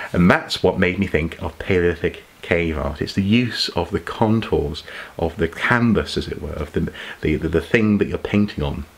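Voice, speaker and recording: A man's voice: low voice, vocal fry. A man, talking casually to camera in an impromptu archaeology vlog, musing aloud with plenty of ums and repeated words. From England. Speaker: archaeology YouTuber. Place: home study with shelves of collectibles.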